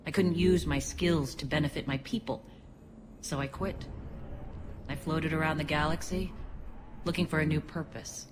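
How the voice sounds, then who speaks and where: A woman playing a principled alien militia leader in a video game, speaking in an earnest, measured and articulate way. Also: deep voice, fairly even intonation, noisy background, close to an everyday voice. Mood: reflective. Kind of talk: video game cutscene acting.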